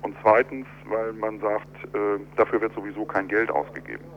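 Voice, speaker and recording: A man speaking as an architect in a telephone interview, explaining in a measured, deliberate, argumentative way. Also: fairly low pitch, fairly even intonation. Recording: dull telephone line with clear hum.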